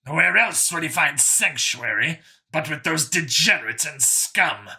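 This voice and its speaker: A callous, eager alien councilman, performed by a male narrator, speaks with pompous emphasis. His voice is intensely bright and animated, made for a science fiction audiobook villain who loves weapons. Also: fairly high pitch, unhurried, vocal fry, heavily acted. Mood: indignant.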